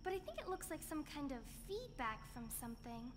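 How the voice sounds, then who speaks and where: A woman's voice: high voice, sing-song, fairly quick. An actress playing an eager, grumbly young woman tech sidekick in a video game. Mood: puzzled. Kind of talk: English video game voice acting. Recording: long reverb, faint ambient noise.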